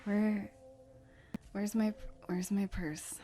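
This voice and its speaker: A woman's voice: medium pitch, fairly even intonation, very slow, warm tone, a little hiss or hum. A young woman acting in a naturalistic radio drama as a volatile, defensive drug user. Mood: groggy. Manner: combative, defensive, accusatory.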